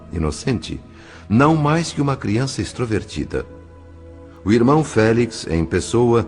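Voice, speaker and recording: A man's voice: on the low side, a little mellow. A man with a Brazilian accent narrating an audio novel in a solemn, literary, carefully phrased style. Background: faint music.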